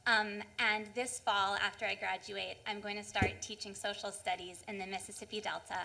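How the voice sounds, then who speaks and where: A woman's voice: mid-range voice, level tone, moderate pace, creaky, pushed and bright, low-quality recording. An American woman in her early twenties, a student putting a prepared advocacy question at a public forum. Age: early 20s.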